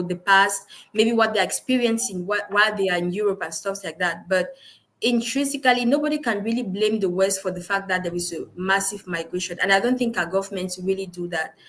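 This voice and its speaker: A woman with a Cameroonian accent answering as an interview guest in a measured, careful, conversational way. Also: mid-range voice, a bit bright, poor audio.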